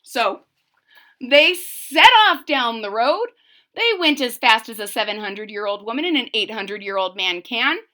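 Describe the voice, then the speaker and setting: A woman reads a children's story aloud with dramatic flair and chatty asides. Her voice is fairly high and bright, swinging widely in pitch as she takes her time. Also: moderate pace. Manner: animated, dramatic, questioning listeners. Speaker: storybook reader. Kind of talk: expressive children's read-aloud with asides to listeners.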